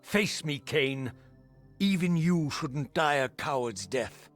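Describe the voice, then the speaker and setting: A man's voice: a little high, animated, slow, soft background music. A man playing a tormented, vengeful wraith in a video game, speaking in formal, archaic, solemn phrases. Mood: accusing, defiant. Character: tormented, vengeful male wraith, eloquent and grimly resolute. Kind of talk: dramatic scripted video game narration and dialogue.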